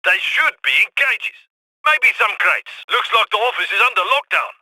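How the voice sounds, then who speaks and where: A sharp-toned heist planner; brisk and wry instructions, dry studio read. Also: male voice, high-pitched, expressive, no echo, full character voice, poor audio. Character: male heist planner on comms, brisk and cocky. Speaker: mission coordinator. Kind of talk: video game voice lines.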